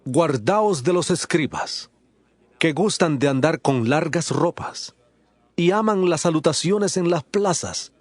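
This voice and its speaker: A man's voice: on the high side, expressive, slow pace, no echo. A man playing an authoritative religious teacher in a dramatized scripture audiobook, speaking in a solemn, emphatic, declamatory way. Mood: stern warning.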